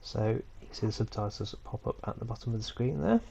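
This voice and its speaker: Male tutorial host with a head cold: gravelly and low, casual and rambling, on a dull home computer mic. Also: fast, slight background noise. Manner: relaxed, rambling, with frequent ums and small self-corrections. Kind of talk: casual screen-recorded tutorial. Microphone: computer mic for a screen recording.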